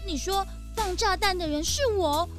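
A woman's voice: very high voice, normal speed, faint music behind. A woman playing a dainty, sweet, evasive young lady in a children's detective audio drama, drawing out her words in a cutesy way. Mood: scornful, indignant.

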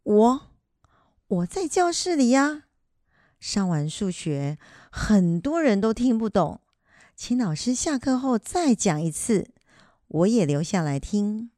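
Woman playing a mellow, expressive Taiwanese grandmother. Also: mid-range voice, takes it slowly, no room sound, studio quality. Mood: apologetic. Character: earnest, studious, apologetic elderly grandmother. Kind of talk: amateur educational radio drama acting.

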